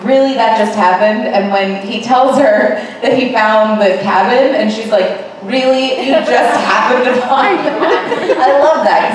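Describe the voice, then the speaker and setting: A woman's voice: mid-range voice, a little hiss or hum. Woman moderator, eager, picking questions from the crowd, echoey room, distant. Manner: enthusiastic, calls on audience members. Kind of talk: hosting a screening Q&A.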